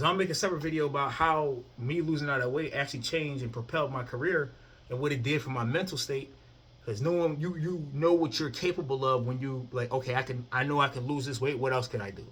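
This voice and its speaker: A man's voice: medium pitch, a bit bright, husky. A man in his thirties talking casually to the camera about his own fitness routine, often asking "you know what I mean?". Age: 30s. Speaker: fitness vlogger.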